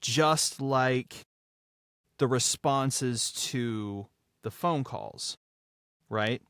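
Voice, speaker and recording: A man speaking as a podcast guest, an audio drama writer and actor reflecting on his work with emphatic, dramatic phrasing and deliberate pauses. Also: mid-range voice, fairly bright tone, smooth, dry recording, clean studio sound. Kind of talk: reflective behind-the-scenes discussion.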